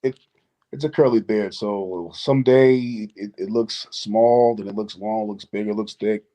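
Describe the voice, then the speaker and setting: A man in his thirties from Boston with a lowish voice. He chats casually as a guest, easygoing and slangy in his wording. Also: steady tone, unhurried, dark tone. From Boston, United States. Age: 30s. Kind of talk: casual chat.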